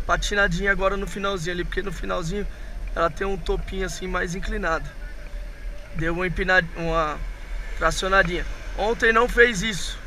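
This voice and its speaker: A hoarse man with a Brazilian Portuguese accent, chatting to his viewers as he rides an electric tricycle through city streets. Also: high voice. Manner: chatty, rambling, addresses viewers as family. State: hoarse from a cold. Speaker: vlogger. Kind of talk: casual vlog talk while riding. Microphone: action camera on the handlebars. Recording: loud road and wind noise.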